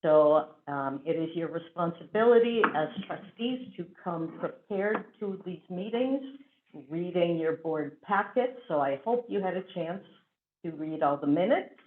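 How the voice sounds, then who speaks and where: A woman's voice: on the low side, expressive, a little slow, a little hiss or hum. A woman chairing a school board meeting, running through procedure with frequent fillers. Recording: tinny phone-like audio.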